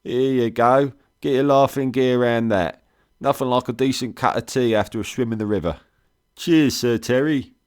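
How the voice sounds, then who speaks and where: A man's voice: mid-range voice, moderate pace, clean tone, pitched above the performer's own voice, lightly acted. A man voicing a scarred, cheery and sly cockney whiskey trader who drawls and calls everyone mate. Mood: chummy. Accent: London cockney.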